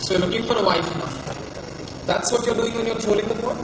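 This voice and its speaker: An actor playing a mild, nerdy young teaching assistant on stage, dry and reproving. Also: male voice, high voice, quick pace, natural voice. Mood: needling. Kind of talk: amateur stage play acting. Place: large indoor hall, stage play. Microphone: far-off recorder. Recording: echoey hall, far off, noisy.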